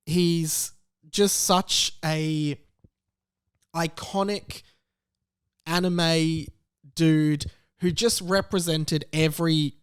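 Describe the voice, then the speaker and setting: A man in his late twenties with an Australian accent, hosting a casual solo podcast in halting phrases, stretching out key words for emphasis. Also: on the high side, smooth, dry recording, clean studio sound. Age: late 20s. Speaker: podcast host.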